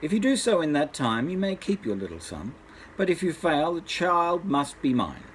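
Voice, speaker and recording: A man's voice: a little high, big pitch swings, normal speed, a little hiss or hum, voice pushed up. A grandfather voicing a strange, demanding little magical man in a bedtime storybook tale. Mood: stern bargaining.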